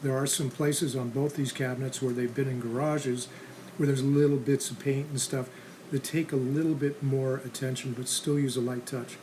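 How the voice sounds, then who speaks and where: A man with a medium-pitched voice narrates a restoration how-to in a casual style. His delivery is plain, practical and instructional. Clear background noise and ambience sit behind his words. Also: flat delivery, normal speed, mellow, rough recording. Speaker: host of a restoration how-to channel.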